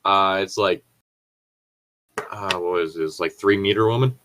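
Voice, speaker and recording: A man's voice: low voice, unhurried, fairly bright tone, slight background noise. A man chatting casually in a rambling way, swearing freely. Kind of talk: casual chat.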